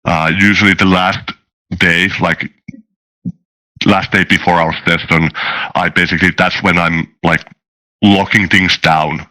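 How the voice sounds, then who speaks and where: Deep, bright-voiced man answering hesitantly. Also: fairly even intonation, measured pace, long pauses, rough recording. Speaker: guest, tabletop game master. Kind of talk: casual interview answers.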